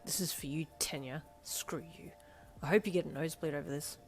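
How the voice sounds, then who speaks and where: A woman voicing a blunt, sharp-tongued young woman who is easily exasperated, in an audiobook-style story. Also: medium pitch, sing-song, faint music behind, pitched above the performer's own voice, lightly acted. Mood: seething. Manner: blunt, exasperated, quick to shout.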